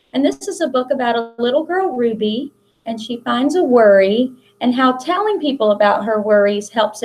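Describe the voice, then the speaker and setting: A middle-aged American woman, a school principal, reads a picture book aloud to children in simple, expressive phrases. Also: sits high, low-quality recording. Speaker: school principal reading to pupils.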